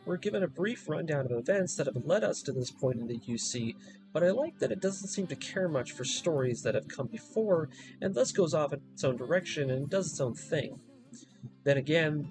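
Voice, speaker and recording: Animated male narrator, high-pitched voice. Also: poor audio. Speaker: video essay narrator. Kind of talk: scripted narration, review and plot recap.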